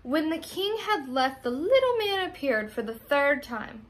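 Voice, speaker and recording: A woman's voice: high voice, sing-song, measured pace. A young adult American woman, a teacher reading and talking expressively to her pupils. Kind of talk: expressive storybook narration.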